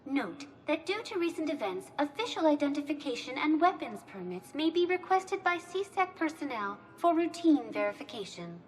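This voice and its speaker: A woman's voice: high voice, sing-song, normal speed, hardly any pauses, slight background noise. A woman playing a courteous synthetic information assistant in a video game, speaking in scripted, official announcements. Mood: courteous, informative.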